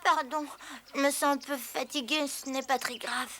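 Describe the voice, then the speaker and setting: A woman's voice: sits high, fairly even intonation, moderate pace, natural voice. A woman playing a delicate, weary, polite youngster in a French cartoon dub, speaking in a meek and plaintive manner. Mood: weary, apologetic. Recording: noisy cartoon soundtrack.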